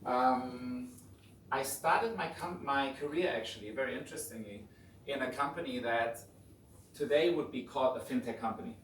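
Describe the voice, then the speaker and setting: A man with a German accent lecturing in an easygoing, informal way, often restarting his sentences. His voice sits at a middle pitch and sounds a little distant from the mic. The hotel meeting room adds a roomy echo over a faint hiss. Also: normal speed. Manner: relaxed, informal, frequent restarts. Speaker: guest lecturer. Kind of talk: conversational lecture.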